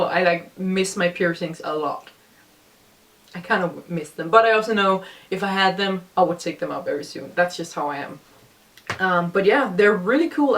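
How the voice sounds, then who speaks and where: A young woman with a deep voice for a woman, talking casually and rambling as she reacts to her old vlog. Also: recorded from a distance. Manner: relaxed, rambling, many fillers.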